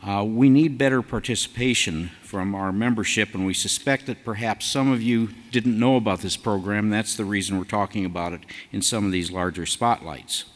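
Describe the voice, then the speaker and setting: A man in his sixties giving a prepared announcement at a convention podium in a halting, folksy way. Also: fairly low pitch. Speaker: task force chair addressing convention. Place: large convention hall stage. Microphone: podium gooseneck microphone. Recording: hall reverb from a PA.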